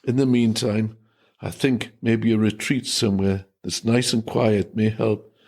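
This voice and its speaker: A man doing a calm deputy-head priest. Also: fairly low pitch, unhurried, pitched below the performer's own voice.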